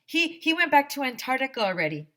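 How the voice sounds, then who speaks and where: A young schoolboy from a storybook, acted out by a woman, fibbing nervously. The voice is bright and pushed higher, with hesitant, stammered excuses. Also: big pitch swings, quick pace. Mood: nervous, evasive. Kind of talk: children's chapter book read-aloud.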